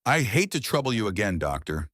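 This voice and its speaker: A man's voice: on the low side, sing-song, a little fast, fairly bright tone, no echo, clean studio sound, pitched above the performer's own voice. A man voicing a fat, exhausted ship's doctor in a pulp story reading, weary and apologetic.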